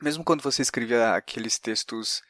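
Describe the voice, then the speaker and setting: A man's voice: mid-range voice, fairly quick, fairly bright tone, dry recording, studio quality. A man with a Brazilian accent hosting a conversational interview, hesitantly searching for his words. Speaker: podcast host.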